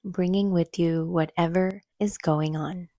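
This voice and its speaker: A woman leading a guided meditation in a soothing, gentle, unhurried manner, with soft breathy phrase endings. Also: fairly low pitch, fairly even intonation, no room sound, clean studio sound. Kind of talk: calm guided meditation narration.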